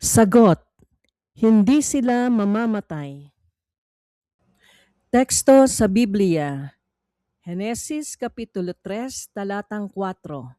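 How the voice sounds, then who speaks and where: A woman reading a scripted Bible quiz in a measured, formal, instructional manner. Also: mid-range voice, lively intonation, dark tone, no echo. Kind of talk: scripted read-along narration.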